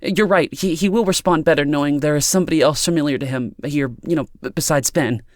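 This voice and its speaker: A man's voice: medium pitch, animated, slow, no echo, clean studio sound, voice pushed up. A man voicing an anxious, timid young woman in an audiobook, with a trembling, hesitant and pleading delivery. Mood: relieved, placating.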